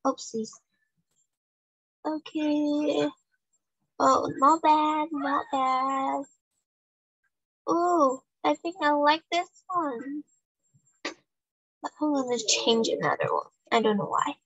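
A woman hosting a gaming channel, thinking aloud in casual commentary with soft, unhurried chatter and many ums. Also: high voice, dry recording, lo-fi sound.

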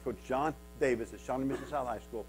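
A man's voice: medium pitch, fast talker, soft background music. A man from Kansas in his fifties, a guest speaker telling stories to a men's retreat in a church hall, stuttering, with many repeats and self-mocking humor. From Kansas, USA. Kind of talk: storytelling talk to a men's retreat. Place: wood-paneled church hall with a seated audience.

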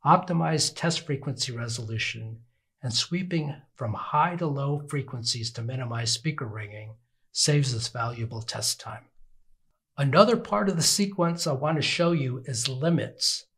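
An older man with a slightly husky voice gives scripted instructional narration in an orderly, explanatory manner. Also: medium pitch, moderate pace, fairly bright tone. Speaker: product demonstrator.

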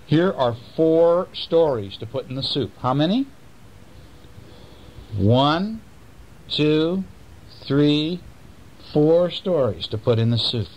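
A man's voice: fairly high pitch, animated, slow, pauses often, darkish tone, voice pushed up, low-quality recording. A man voicing a polite, clever little mouse in a bedtime story. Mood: offering. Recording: faint noise, homemade recording.

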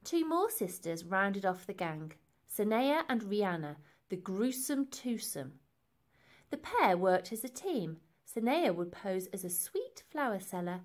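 A woman reading a children's story aloud in an expressive, deliberate and emphatic way. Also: lowish voice. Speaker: storybook reader.

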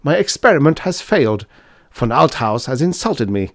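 A pompous, hot-tempered old professor acted by a man reading aloud blusters through a storybook tale. The voice is raised in pitch and loud, swinging widely as it orders everyone about. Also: very animated, moderate pace, dry recording, clean studio sound. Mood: indignant. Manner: blustering, imperious, shouting. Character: irascible, pompous older male German professor, bellowing indignantly.

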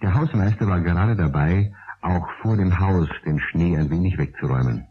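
A man's voice: low voice, normal speed, husky. A man, narrating a radio play as storyteller. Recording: dull old radio play recording.

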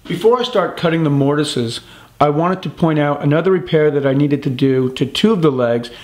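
Calm man explaining woodworking, echoey shop. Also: fairly high pitch, a little fast. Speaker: woodworking channel host. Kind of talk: instructional workshop narration. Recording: echoey workshop room.

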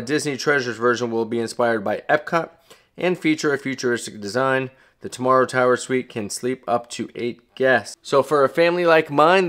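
A man's voice: medium pitch, a bit bright. A middle-aged man with an ordinary mid-range voice talks to his viewers as a travel vlogger. His delivery is casual and chatty, like someone explaining things to friends, and he is happy to poke a little fun at himself.

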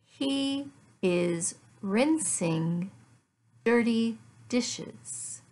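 A middle-aged woman, an English teacher, giving a lesson in deliberate, word-by-word phrases with repeated questions and answers. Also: fairly high pitch, lively intonation, darkish tone. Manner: deliberate, word-by-word enunciation for learners. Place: small furnished room at home.

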